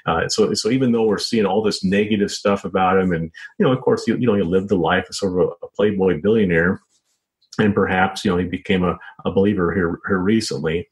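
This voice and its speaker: Man, American, deep pitch, rough husky tone, interview guest, explanatory, halting and repetitive. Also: low-quality recording. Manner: halting, repetitive phrasing with many "you know" and "sort of" hedges. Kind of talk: informal explanatory interview answers.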